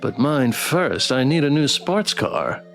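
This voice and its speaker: Man, lively swooping pitch, theatrical, reading and commenting on a visual novel, light music. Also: slow pace, vocal fry. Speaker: streamer playing and voicing a visual novel. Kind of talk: playthrough read-aloud and commentary.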